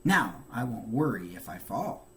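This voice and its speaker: A man's voice: mid-range voice, very animated, measured pace. A man voicing a worried young boy turtle who whines and groans his complaints in a storybook reading. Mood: hesitant, hopeful. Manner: whiny, groaning, exclaiming complaints.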